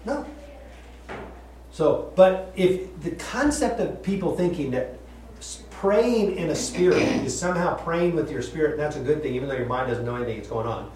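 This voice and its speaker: Male teacher, conversational Bible study, lively and slightly high-pitched, distant mic in a roomy hall. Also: normal speed, slight background noise. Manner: chatty, laughs often, repeats and restarts. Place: room with group listening. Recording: echoey room, voice from far off.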